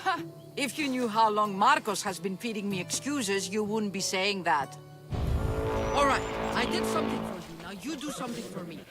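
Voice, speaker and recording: Actress as a blunt, impatient Greek bowmaker, music behind. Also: female voice, mid-range voice, expressive, moderate pace, lightly acted. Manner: blunt, demanding, gruff. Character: brusque, impatient, foul-mouthed woman bowmaker. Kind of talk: scripted video game dialogue. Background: background music.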